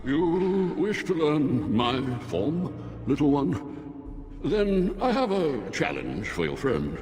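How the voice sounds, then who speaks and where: An actor playing a bored, condescending demon of sloth in a fantasy video game, with a languid, drawn-out delivery. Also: male voice, a little high, very animated, a little mellow. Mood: menacing challenge. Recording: long reverb, music underneath.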